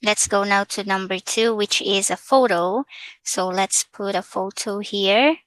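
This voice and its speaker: A Filipina woman with a Filipino accent talks slowly and hesitantly through an online tutorial, mixing English with Tagalog. Her voice is bright and forward, and it sounds close and dry, with no room around it. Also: mid-range voice, no echo, poor audio. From Philippines. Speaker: vlogger. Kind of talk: casual screen-share tutorial.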